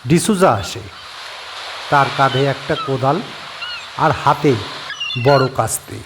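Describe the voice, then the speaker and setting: A man narrating a horror audio story in a calm, unhurried way. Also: medium pitch, noisy background.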